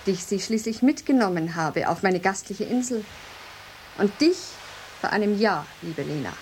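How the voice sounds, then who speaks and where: An actress in a German radio drama playing a haughty, jealous lighthouse mistress, speaking in an imperious, formal and mocking way. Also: female voice, mid-range voice, expressive, moderate pace, a little mellow, slight background noise, close to an everyday voice. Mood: gracious, needling.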